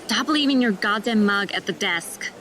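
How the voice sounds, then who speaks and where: An actress playing a new young female nurse who is blunt and sharp-tongued. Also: medium pitch, expressive, normal speed, lightly acted. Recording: noisy background.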